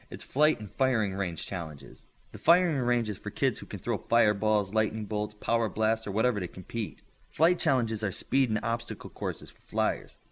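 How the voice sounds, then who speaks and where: Man voicing a knowing, animated teenage girl. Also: fairly low pitch. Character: smart, chatty teenage girl with telepathic powers, knowing and assertive.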